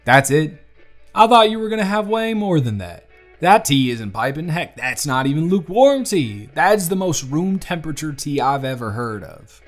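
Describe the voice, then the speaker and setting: A man's voice: fairly high pitch, big pitch swings, moderate pace. A man playing a teasing, affectionate young bartender with a Southern US accent and a folksy manner, in a romantic audio roleplay. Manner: folksy, playful, affectionate drawl. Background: music.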